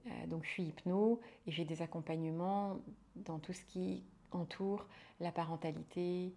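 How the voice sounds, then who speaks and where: Guest, woman, somewhat low voice, halting and conversational. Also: steady tone, dark tone. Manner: hesitant, many fillers and restarts. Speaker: hypnotherapist and midwife, guest. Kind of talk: casual conversational interview.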